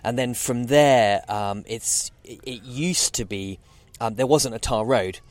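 A man's voice: mid-range voice, unhurried, no echo. A man, answering interview questions in a rambling way with lots of fillers. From Zambia. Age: 40s. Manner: rambling, many fillers and restarts. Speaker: guest oceanographer. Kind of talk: casual interview.

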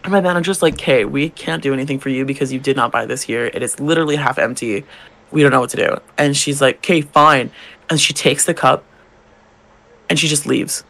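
A man's voice: mid-range voice, brisk, a bit bright. A young man, a drag queen co-hosting a podcast, telling work stories in casual chat, swearing and acting out customers' lines. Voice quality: gravelly, feminine-leaning voice.

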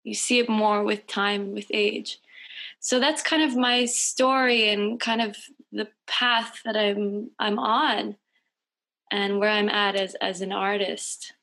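Slow, thoughtful woman in her thirties. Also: mid-range voice, steady tone, sharp tone. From United States. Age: 30s. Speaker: guest musician. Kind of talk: reflective interview answers. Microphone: video call. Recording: lo-fi call audio.

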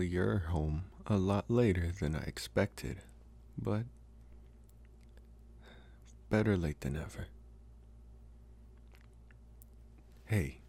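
Casting: man as infatuated college-age vampire for ASMR roleplay, polite, reassuring, very low with heavy fry. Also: darkish tone, roomy sound, in a large hall. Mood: casual, teasing. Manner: slow, reassuring, hesitant with deliberate pauses.

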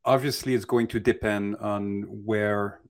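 A man giving unscripted advice as a mentor in a Q&A, speaking in a hesitant, halting way as he thinks aloud. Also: lowish voice, steady tone, clean tone.

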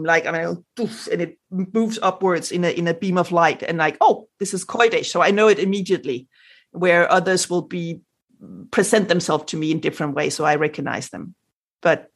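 A Danish woman, a native speaker of Danish with a Danish accent, speaking animatedly as a spiritual guest on a podcast, with sound effects and many restarts. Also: on the low side. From Denmark. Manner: frequent restarts and fillers. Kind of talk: podcast interview.

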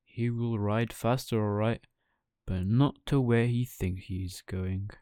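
A man's voice: on the low side, fairly even intonation, a little slow, mellow, smooth, dry recording, clean studio sound, natural voice. A man playing a sly coachman who coaxes children in a persuasive, slick way, as a storybook villain. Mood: scheming. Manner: coaxing, persuasive.

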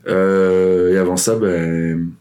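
Deep-voiced man rambling in casual chat. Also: little pitch movement, slow pace, warm tone. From Ivory Coast, raised in Paris. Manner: rambling, many fillers and restarts. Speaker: podcast guest, swim coach.

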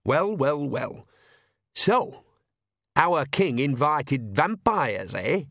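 A man's voice: fairly high pitch, very animated, very slow, a bit bright, no echo, clean studio sound, pitched above the performer's own voice, exaggerated voice. A man voicing a wrinkled, bossy old village witch woman in an audiobook, folksy, blunt and colloquial. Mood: wry, chatty. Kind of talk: audiobook character voice.

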